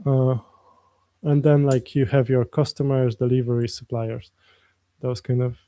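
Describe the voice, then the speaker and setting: A man's voice: medium pitch, little pitch movement, unhurried, mellow, no echo. A man with a Polish accent, live-streaming his coding and chatting casually with viewers in a rambling way, often restarting his sentences. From Poland. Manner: rambling, many restarts, reads chat aloud.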